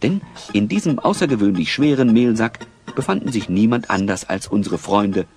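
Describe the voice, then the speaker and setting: A man narrating a German children's audio drama, with dramatic, measured phrasing. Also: lowish voice, animated, a little mellow. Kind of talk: scripted audio drama storytelling.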